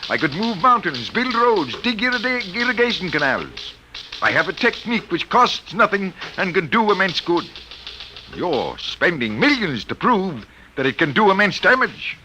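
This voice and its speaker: An actor playing a stubborn, idealistic college professor, earnest and argumentative, in old radio drama style. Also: male voice, high voice. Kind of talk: 1950s radio drama acting. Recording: dull old radio recording with music.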